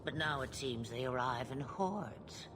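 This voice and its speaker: A woman's voice: extremely low pitch, lively intonation, measured pace, noisy background. An actress voicing an ancient shapeshifting witch, speaking in a wry, amused, theatrical way.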